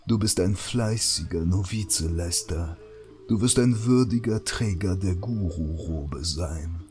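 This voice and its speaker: A man plays a battle-hardened templar leader in a fantasy audio drama. The voice is deep and unhurried, calm and stern, as from someone who rarely speaks, with soft music beneath. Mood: calm, approving.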